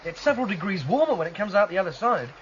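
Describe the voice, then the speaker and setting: High-pitched, earnest young male rebel. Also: very animated, rapid-fire, fairly bright tone. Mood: eager, casual. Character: earnest, questioning young male rebel against a controlling state. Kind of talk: radio drama acting. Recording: slightly dull, noisy radio drama recording.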